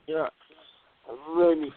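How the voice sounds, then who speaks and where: Indistinct higher-pitched man on phone. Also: steady tone, slow pace. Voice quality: indistinct, hard-to-understand speech. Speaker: radio show guest. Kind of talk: casual chat. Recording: muffled, tinny phone line.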